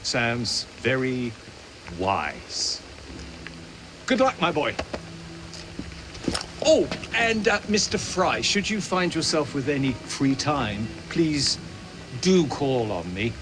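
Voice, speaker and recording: Genteel old naturalist, Victorian game character, unhurried, swooping intonation, thoughtful, courteous, noisy ambience. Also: male voice, medium pitch, very animated. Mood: wry, kindly. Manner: courteous, measured. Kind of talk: period video game acting.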